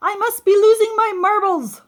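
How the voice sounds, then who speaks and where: A woman voicing an excitable, easily flustered married man in a children's storybook. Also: very high-pitched, moderate pace. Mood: panicked. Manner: exclaiming, easily alarmed.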